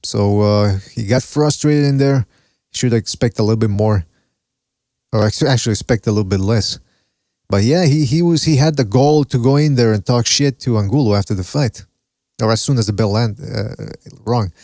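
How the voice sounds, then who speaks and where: A man's voice: medium pitch, moderate pace, dark tone, no room sound, studio quality. A man from Mexico with a mixed foreign accent, hosting a solo boxing podcast and reading the live chat in a casual, rambling way with cursing and laughs. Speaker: podcast host.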